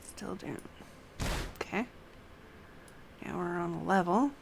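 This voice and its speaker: A woman's voice: on the low side, takes it slowly, vocal fry, background noise. A woman streaming a game casually, chatting to herself as she plays. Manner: chatty, talks to herself while playing. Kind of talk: casual livestream play, reading quest dialogue aloud.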